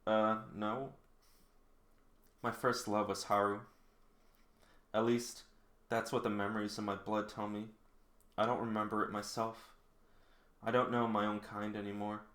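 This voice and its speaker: A man reading the part of a guarded, bitter female vampire from a manga, in a halting, solemn way. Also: lowish voice, little pitch movement, fairly bright tone. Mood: somber, reflective. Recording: roomy, echoing indoor sound.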